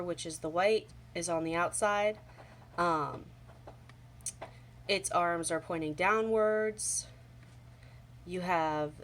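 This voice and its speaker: A young woman with a slightly hoarse voice from a cold, casually explaining origami folds step by step at home, with many ums. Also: on the low side. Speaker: origami tutorial host. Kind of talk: casual how-to demonstration. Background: faint home sounds, toddler playing.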